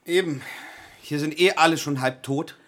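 An actress playing a restless, morbid patient who rouses and needles others in a radio play, theatrical and sardonic. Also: female voice, low voice, normal speed, a bit bright. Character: restless, morbid ringleader among waiting emergency room patients. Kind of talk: German radio play acting.

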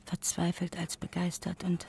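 An actress playing a composed, earnest woman who reads out a letter in a radio drama in measured tones. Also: fairly low pitch, monotone, a little mellow, whispered, lightly acted. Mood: grave.

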